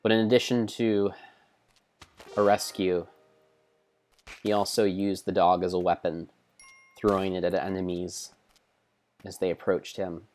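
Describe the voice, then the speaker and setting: A man narrating video game play as a solemn, theatrical storybook tale, in measured phrases. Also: sits low, little pitch movement, darkish tone, soft background music. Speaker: streamer narrating own gameplay.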